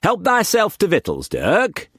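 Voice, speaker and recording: A man voicing a coarse, boisterous old male sea captain with a rough dialect. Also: high-pitched, wildly swooping pitch, a little slow, a bit bright, no room sound, studio quality, voice pushed up, exaggerated voice. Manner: coarse, bellowing, dialect-heavy.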